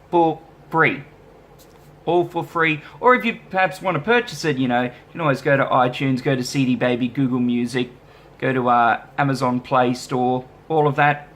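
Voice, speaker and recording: A man's voice: on the high side, a bit bright. A man vlogging casually as a musician, easygoing and self-deprecating as he rambles through viewer questions. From Australia. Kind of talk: casual vlog chat answering viewer questions.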